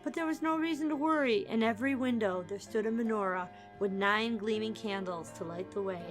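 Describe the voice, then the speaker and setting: A woman's voice: on the high side, fairly quick, over music. A woman narrating a storybook as a dramatic reading, theatrical and emphatic, building suspense.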